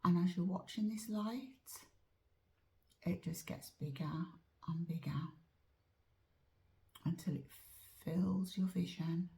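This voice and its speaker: A woman guiding a meditation in a soft, often whispered voice, slow and drawn-out, a little chesty as she is losing her voice. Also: on the low side, creaky. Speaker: meditation teacher. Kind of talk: guided meditation.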